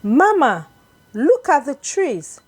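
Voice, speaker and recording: Woman as an excited, high-voiced small child. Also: slow pace, exaggerated voice. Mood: innocent wonder. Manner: wide-eyed, exclaiming. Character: innocent excited youngest child on a journey.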